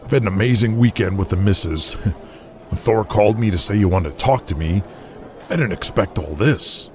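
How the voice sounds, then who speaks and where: Audio drama wrestler, acted by a man: deep voice, wary family man, hesitant and plain-talking. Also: normal speed, a little mellow, slight background noise, natural voice. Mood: grateful. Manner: hesitant, guarded, plain-spoken. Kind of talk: audio drama character acting.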